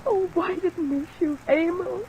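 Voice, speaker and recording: High-voiced, distraught wounded wife. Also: female voice, a little mellow, slight background noise, low-quality recording. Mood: anguished, dazed. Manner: faltering, halting. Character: wounded, distraught married woman confessing in a crime drama. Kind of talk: mid-century American radio drama acting. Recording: old radio recording under rain sound.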